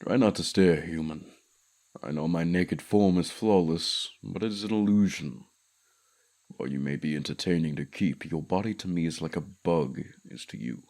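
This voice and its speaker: Guy doing a pompous dragon for fantasy asmr, really deep voice, talks down to his human, bossy and formal. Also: moderate pace, creaky, a little mellow. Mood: haughty, dismissive. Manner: pompous, condescending, formal diction. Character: haughty male dragon, commanding keeper of a human pet. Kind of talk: scripted ASMR fantasy roleplay.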